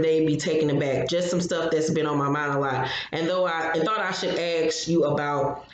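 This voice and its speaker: A woman co-hosting a casual podcast, upbeat and relaxed, reading a listener's letter aloud. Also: sits low. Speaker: podcast co-host. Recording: very echoey room with faint noise.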